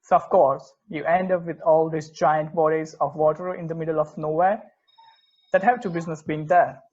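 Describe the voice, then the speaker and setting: A man's voice: a little high, steady tone, normal speed, darkish tone, close to an everyday voice. A man acting as an earnest, particular, opinionated football fan in a conversational table read, emphatic and occasionally stumbling over words. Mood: explanatory, bemused. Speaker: amateur actor in a remote table read. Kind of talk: conversational dramatic script reading. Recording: dull lo-fi microphone sound.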